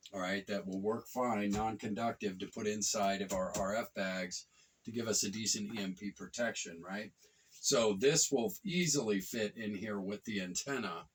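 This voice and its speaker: Low-voiced man, rambling casual reviewer, slightly distant mic. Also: a bit bright. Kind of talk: casual product review vlog.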